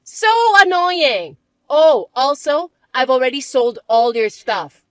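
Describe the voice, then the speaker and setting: A woman voicing a shrill, spoiled stepsister. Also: lively intonation, slow, fairly bright tone, no room sound, exaggerated voice. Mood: taunting. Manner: shrill, taunting and bossy. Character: spoiled, mocking young adult stepsister.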